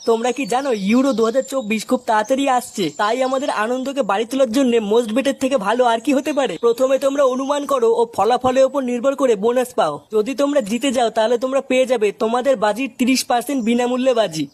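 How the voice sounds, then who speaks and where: Male ad voice, scripted promotional delivery, rapid pace. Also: very high voice, steady tone, darkish tone. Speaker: advert presenter.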